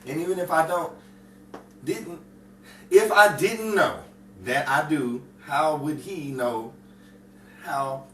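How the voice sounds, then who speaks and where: A man's voice: on the high side, slow, pauses often. A man playing a flustered, defensive driver in an old-time radio comedy, stammering and repeating himself. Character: excitable, defensive, bumbling man driving a cramped car. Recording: roomy, distant, clearly noisy.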